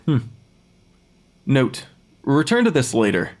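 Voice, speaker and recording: A man's voice: mid-range voice, wildly swooping pitch, slow pace. An actor playing a blunt young male pathologist in an audio drama, speaking in a matter-of-fact, clinical way. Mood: clinical, detached. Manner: clinical, matter-of-fact, dictating notes formally. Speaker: actor in fiction podcast.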